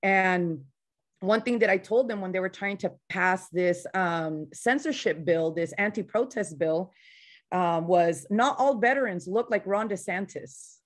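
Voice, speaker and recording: A woman's voice: mid-range voice, a little slow. A woman veteran organizer with a slight Spanish-influenced accent, speaking passionately and bluntly in a casual online panel discussion.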